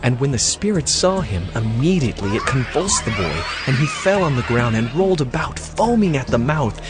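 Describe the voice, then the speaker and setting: Male narrator for audio Bible, scripted storytelling, mid-range voice, clear music bed. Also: medium pitch, sing-song, moderate pace.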